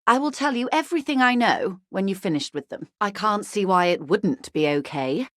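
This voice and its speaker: A woman's voice: mid-range voice, animated, moderate pace, fairly bright tone, dry recording, clean studio sound, lightly acted. A woman voicing a poised, wry, upper-class corporate negotiator in a video game, speaking in a polite, formal, faintly arch way. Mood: businesslike, courteous. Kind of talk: video game dialogue acting.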